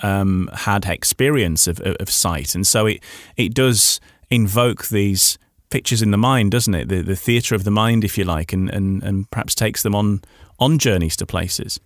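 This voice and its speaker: A man's voice: lowish voice, moderate pace, dry recording, studio quality. A man hosting a radio interview, forming his questions a little hesitantly and repeating words as he goes. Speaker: radio host.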